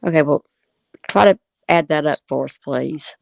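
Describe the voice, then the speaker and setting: A woman with a fairly low voice delivers casual reaction commentary. She circles back over her points and poses rhetorical questions, in a dry recording with no room sound. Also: normal speed, vocal fry, a little mellow, no room sound. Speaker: video commentator.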